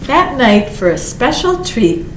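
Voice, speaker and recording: A woman reads a picture book to children at a slow, measured pace. She is expressive, stretching out words and slipping in short asides. The recording is roomy and echoey, with faint noise behind her. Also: a little high. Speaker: library storytime reader.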